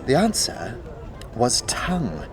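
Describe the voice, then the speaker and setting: An actor playing a mocking, excitable male skeleton in a fantasy audio drama, cackling and stammering in archaic thee-and-thou speech. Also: medium pitch, takes it slowly, a crowd in the background. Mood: grudging.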